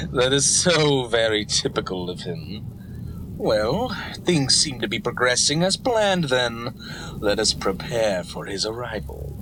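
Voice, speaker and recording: A cruel snake lord of fantasy audio drama. He savors his words slowly, mocking and grandiose, with big swoops in pitch. Clear noise sits around the voice. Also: very animated. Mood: gloating. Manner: theatrical, mocking, sneering. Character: scheming gloating male snake villain lord, grandiose and cruel. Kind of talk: fan audio drama villain acting.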